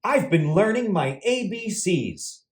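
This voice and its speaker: Man putting on a protesting storybook alligator, animated. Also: sits high, slow, heavily acted. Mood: defensive denial. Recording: roomy echo.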